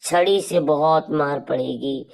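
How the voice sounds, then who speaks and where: A woman's voice: sits low, takes it slowly, creaky, darkish tone, full character voice, low-quality recording. A woman voicing a strict village mother who scolds her children in a cartoon story. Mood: stern warning.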